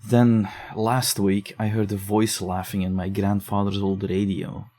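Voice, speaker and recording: A man's voice: lowish voice, flat delivery, a little slow, dark tone, natural voice. An actor playing a shaken young man telling of strange events in an audio drama, defensive and uneasy. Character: shaken young man investigating case files. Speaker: narrator and lead character.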